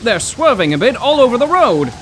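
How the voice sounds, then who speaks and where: An actor playing an excitable, bookish professor in a 1930s radio drama, theatrical and wordy with old-fashioned exclamations. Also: male voice, very high voice, a little fast, heavily acted. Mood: alarmed, indignant. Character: excitable, bookish 1930s male poetry professor, stranded motorist. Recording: rain and road noise.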